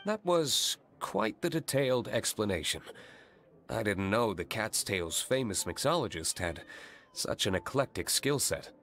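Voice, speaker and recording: A man voicing a composed, coolly courteous gentleman in a video game, speaking formally and dryly. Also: lowish voice, very animated, a little slow, exaggerated voice. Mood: dryly impressed.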